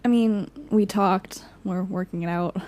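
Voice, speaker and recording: A woman's voice: mid-range voice, steady tone, a little slow, dark tone, close to an everyday voice. An actress playing a weary, frank young woman, a supportive friend, in casual audio drama dialogue. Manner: casual, candid.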